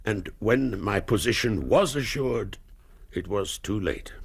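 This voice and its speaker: An anguished English nobleman in a period radio drama. His upper-class voice is gravelly and husky, swinging widely in pitch. He speaks formally and gravely, halting under the strain of his pride. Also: animated, exaggerated voice. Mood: sorrowful confession.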